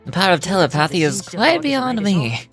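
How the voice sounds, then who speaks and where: A woman's voice: lowish voice, big pitch swings, brisk, over music, pitched below the performer's own voice. A woman voicing a playful, shrewd young male street magician in a video game, with a teasing, theatrical, self-assured manner. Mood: playful, confident. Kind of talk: video game character dubbing.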